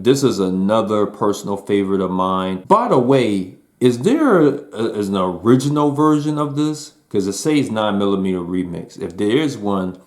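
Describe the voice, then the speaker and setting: Host, male, 40s, deep low voice, easygoing slangy delivery, faint beats underneath. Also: lively intonation, normal speed, hardly any pauses, a little mellow, faint music behind. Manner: laid-back slangy talk to viewers. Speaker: album reviewer. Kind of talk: casual music review.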